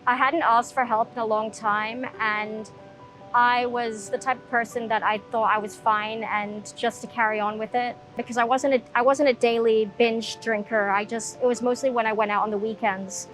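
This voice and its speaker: A young woman from Hong Kong speaks in a soft, reflective manner, pausing often as she tells her personal story. Her voice sits a little high. Faint music plays quietly underneath. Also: level tone. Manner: soft-spoken, reflective, frequent ums and pauses. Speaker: interviewee sharing her recovery story. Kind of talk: personal testimony. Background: soft background music.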